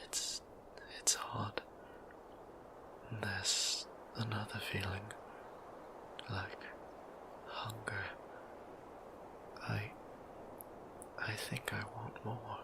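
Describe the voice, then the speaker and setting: A man voicing a shy, tender vampire priest in an intimate romantic audio roleplay, speaking in a breathy, hesitant whisper with creaky edges. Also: fairly low pitch, flat delivery, very noisy. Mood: yearning, tender. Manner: hesitant, halting, gentle. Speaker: voice actor.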